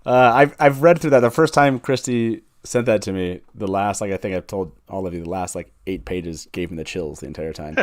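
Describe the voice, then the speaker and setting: Lowish, dry-sounding male host. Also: brisk, hardly any pauses, dry recording. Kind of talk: casual live-stream chat.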